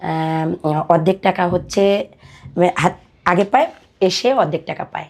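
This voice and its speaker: A woman's voice: on the low side, a little slow. A young Bangladeshi woman in her twenties, a podcast guest telling her own life story in conversation and retelling what people said to her. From Dinajpur, Bangladesh. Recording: music under the voice. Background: background music.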